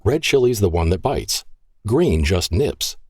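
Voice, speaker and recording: A man's voice: lowish voice, big pitch swings, a little slow, darkish tone, breathy edge, no echo, studio quality, heavily acted. A man voicing a thin, friendly waiter who reassures his customers. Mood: reassuring. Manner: chuckling, reassuring.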